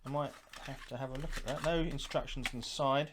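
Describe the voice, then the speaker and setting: A middle-aged man with a medium-pitched voice talks casually and unscripted, as the host of an unboxing. He muses out loud as he goes. There is faint noise and some clicking from handling behind him. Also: steady tone, brisk. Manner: musing, thinking aloud while handling items. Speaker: host of a retro gaming unboxing channel.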